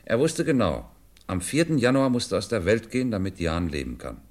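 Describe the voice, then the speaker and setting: A shrewd police commissioner in a 1950s radio drama. His voice is low and moves widely in pitch. He explains patiently and deliberately, leaning on key words with theatrical emphasis. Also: very animated, darkish tone. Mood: explaining, assured.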